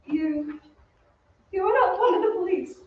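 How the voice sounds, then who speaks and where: An actress playing a frightened young opera singer, halting and pleading, in an old-time radio drama read on stage. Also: female voice, very high-pitched, expressive. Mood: fearful, suspicious. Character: frightened young female opera singer being lured underground. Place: theatre stage, away from the mic. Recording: distant, dull, echoey stage with faint noise.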